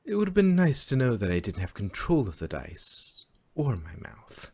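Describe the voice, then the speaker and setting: Man voicing a husky, anxious pony princess with lively pitch. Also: moderate pace. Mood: sardonic. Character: flustered young pony princess, regal but anxious and sardonic. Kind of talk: amateur fan fiction dramatic reading.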